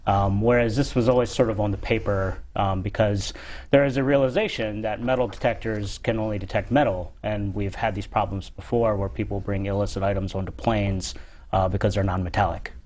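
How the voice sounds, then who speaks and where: Halting, low-voiced man answering as guest expert. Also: a little mellow. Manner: measured, hedging, halting. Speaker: guest expert on a security call-in show. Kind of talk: interview answers to callers.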